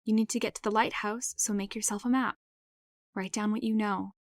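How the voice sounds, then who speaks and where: A woman playing a calm guardian in an audio drama, firm but tender, with a soothing, instructive manner. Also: medium pitch, fairly quick, no room sound, studio quality, natural voice. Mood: firm, steadying.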